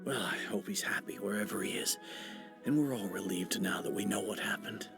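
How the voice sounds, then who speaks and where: A man playing an easygoing, chatty villager in a video game, talking in a casual, musing way over soft music. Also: on the low side, a little fast, creaky. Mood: soft, relieved. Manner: casual, musing, hesitant storytelling. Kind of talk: video game dialogue acting.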